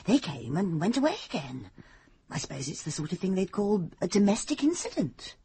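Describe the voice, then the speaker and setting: A woman's voice: mid-range voice, sing-song, a little fast, warm tone, breathy edge, full character voice. An actress with a British accent playing a chatty, flustered, affectionate middle-aged mother in a radio drama. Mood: gossipy, casual.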